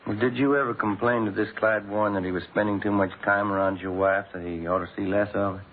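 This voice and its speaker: Casting: male actor as patient detective sidekick, deep and matter-of-fact, period radio police drama with muffled sound. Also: fast, close to an everyday voice. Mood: probing. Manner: polite, even, matter-of-fact. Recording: muffled, noisy old-time radio recording.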